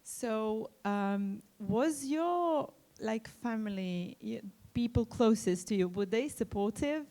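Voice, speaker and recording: A woman's voice: a little high, expressive, slow, hardly any pauses, warm tone. A woman interviewing a guest on a conference stage, asking chatty, enthusiastic questions.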